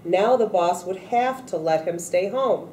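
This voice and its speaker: A woman reading a children's picture book aloud in a deliberate way, acting out the quoted speech a little. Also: mid-range voice, animated, dark tone, far from the mic. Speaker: storybook reader at a read-aloud event. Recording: echoey room, off-mic, faint noise.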